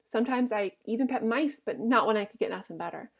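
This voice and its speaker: A woman's voice: fairly high pitch, quick pace. Woman reading as a big childlike farmhand man, fretful. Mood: earnest, simple. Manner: uneducated rural American dialect, pleading.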